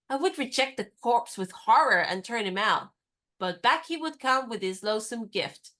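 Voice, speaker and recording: A spirited, independent young woman in a radio play, acted by a woman, teasing and stubbornly fair-minded. She speaks crisply and insists on her point, in a fairly high voice. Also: fairly bright tone, lightly acted.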